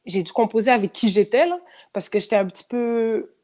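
A woman's voice: fairly high pitch, fairly quick, a little mellow. A woman in her thirties with a Quebec French accent and a slight France-French tone, talking candidly as a guest in a casual interview, with many fillers and restarts. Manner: candid, lots of fillers and repetitions, frequent laughs.

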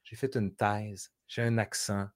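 A man's voice: on the low side, unhurried. Man, quebec french accent, casual storyteller, acts out his thoughts. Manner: animated storytelling, acts out quoted inner voices. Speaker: guest. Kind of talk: casual conversation.

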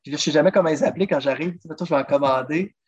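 A man's voice: fairly high pitch, rapid-fire, slightly rough. A man with a Québécois accent, chatting as a podcast guest and eagerly walking through recipes. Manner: chatty, lively, keeps saying "listen" as he explains recipes. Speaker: guest, drummer and food traveler. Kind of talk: casual interview chat. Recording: dull lo-fi recording.

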